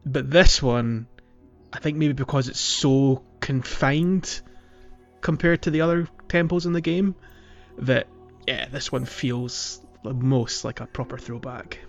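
A man's voice: medium pitch, measured pace, vocal fry. Man chatting over music, slightly dull audio. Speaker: podcast host. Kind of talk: casual discussion of a video game. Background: background music.

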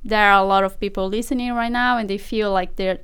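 A woman's voice: medium pitch, fairly even intonation, quick pace, a bit bright, clean tone. A woman hosting a podcast, speaking carefully and sometimes searching for words in a language that is not her first. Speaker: podcast host. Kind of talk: casual podcast interview.

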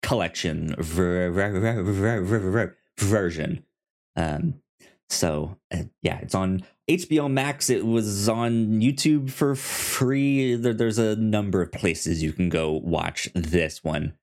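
A man co-hosting a podcast in casual film discussion, halting and often repeating words and syllables as he goes. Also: fairly low pitch, a little mellow. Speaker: podcast co-host.

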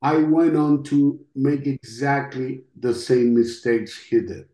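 A middle-aged man from Costa Rica with a Costa Rican Spanish accent gives personal testimony in an interview, speaking in a halting, reflective way. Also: mid-range voice, steady tone, mellow. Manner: halting, reflective, with long pauses. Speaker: interview guest, podcast host. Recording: roomy, echoing sound.

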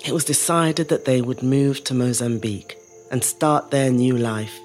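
A reflective middle-aged woman tells her family's story in a low voice. Her phrasing is measured and lyrical, shaped like a scripted audio-drama monologue. Soft music sits faintly behind her. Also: fairly even intonation. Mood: tender, reflective.

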